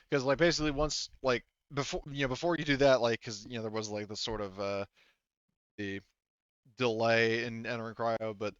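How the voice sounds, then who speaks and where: A man with a fairly low, smooth voice acts as game master. He talks casually and restarts his phrases often. The sound is dry and a little muffled. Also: fairly bright tone, no echo. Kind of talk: casual tabletop game narration.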